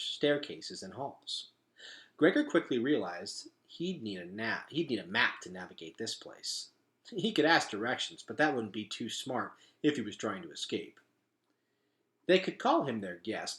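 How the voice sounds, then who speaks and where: A man reading a children's story aloud as a teacher, with expressive, dramatic emphasis. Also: fairly low pitch.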